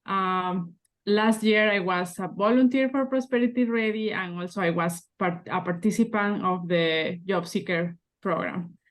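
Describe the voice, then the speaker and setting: A young woman with an Ecuadorian Spanish accent, a Spanish speaker, introducing herself on a video call with halting restarts. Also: medium pitch. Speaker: student and committee member.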